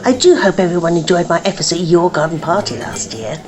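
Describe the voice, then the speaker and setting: A mocking village gossip, played by a woman, in a comic radio play. Her tone is catty, and her pitch moves about with real animation. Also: sing-song, fairly quick, darkish tone. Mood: catty, dry. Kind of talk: comic radio drama acting.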